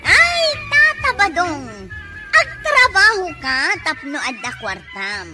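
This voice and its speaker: A woman's voice: very high-pitched, big pitch swings, takes it slowly, heavily acted. A woman with an Ilocano accent playing a village woman who nags and scolds about money in a comedy drama. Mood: scolding. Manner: scolding, exclamatory. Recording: loud music bed behind the voice.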